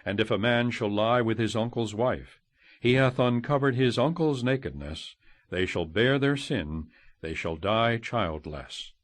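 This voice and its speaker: A man reading scripture as an audiobook narrator, solemn and measured, declaiming each phrase and letting it fall at the end. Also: mid-range voice, expressive, no echo. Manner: measured, declamatory, phrases ending on falling cadences.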